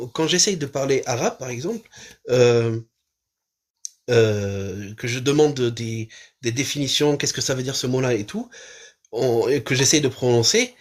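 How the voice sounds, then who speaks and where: A man reacting on a live stream, impassioned and swearing, hesitating often with fillers. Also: on the high side.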